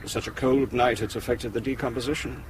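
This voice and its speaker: An actor playing a curt, gloomy police doctor in a radio crime drama, clinical and impatient in manner. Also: male voice, medium pitch, steady tone, fast talker, background noise, close to an everyday voice. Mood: grim, clinical.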